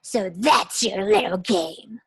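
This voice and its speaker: A woman voicing a mean, strict substitute teacher with a scratchy voice and a slow, menacing delivery. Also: medium pitch, slightly rough, no echo, clean studio sound, exaggerated voice. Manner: menacing, drawn-out.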